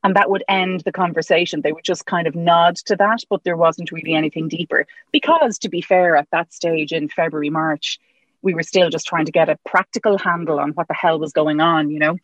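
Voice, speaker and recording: A woman's voice: low voice, steady tone, a little fast, no room sound, lo-fi sound. A woman chatting as a guest, telling stories with easy laughter. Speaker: guest, podcast presenter. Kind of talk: casual chat.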